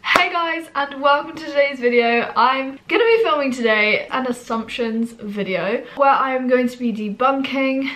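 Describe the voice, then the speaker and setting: Croaky young woman with a cold. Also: high-pitched, expressive, moderate pace, few pauses, fairly bright tone, slight background noise. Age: 20s. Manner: chatty and rambling. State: hoarse from a cold. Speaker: vlogger. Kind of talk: casual to-camera chat.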